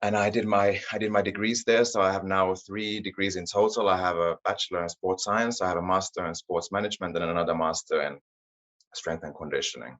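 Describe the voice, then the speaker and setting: Finnish man, 30s, very deep, modest interview guest, muffled lo-fi sound. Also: fairly even intonation, brisk, fairly bright tone. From Finland. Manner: modest, repeats words while searching for them. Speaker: guest, performance coach. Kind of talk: interview answers. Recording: dull lo-fi audio.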